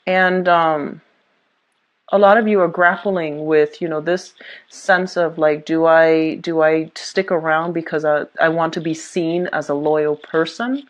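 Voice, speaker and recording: Low-voiced woman giving a tarot reading. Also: steady tone, unhurried, highs cut off. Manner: reassuring, many fillers and restarts. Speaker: tarot reader.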